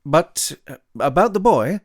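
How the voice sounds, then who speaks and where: A man's voice: fairly high pitch, lively intonation, a little slow, no echo, studio quality, pitched above the performer's own voice. A man reading an audiobook, voicing Ransom, a reserved male scholar who is courteous and a little hesitant. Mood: polite. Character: polite, reserved English scholar.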